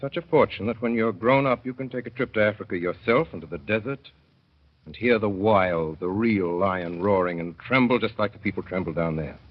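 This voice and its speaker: A man narrating a 1930s radio drama as a father, in a wry, mournful storytelling manner that slows to dwell on sad images. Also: fairly low pitch. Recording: dull old radio broadcast.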